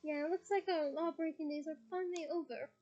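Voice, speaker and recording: A woman's voice: sits high, fairly even intonation, a little fast, warm tone, muffled recording, pitched above the performer's own voice, lightly acted. A woman voicing a sly teenage boy who snickers and jokes wryly. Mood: wry, snickering. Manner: snickering, wry.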